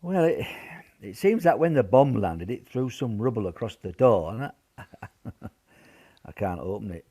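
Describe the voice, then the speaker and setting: A man's voice: fairly low pitch, lively intonation, a little slow, mellow, slightly rough. A man playing a sheepish but reassuring wartime grandfather in an amateur radio play, hesitant and repeating himself. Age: older. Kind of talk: amateur radio drama acting.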